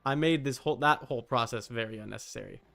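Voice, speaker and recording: A man's voice: medium pitch, fast talker, vocal fry, a bit bright. A man livestreaming a game, chatting informally and teasingly with his viewers and swearing casually. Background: background music.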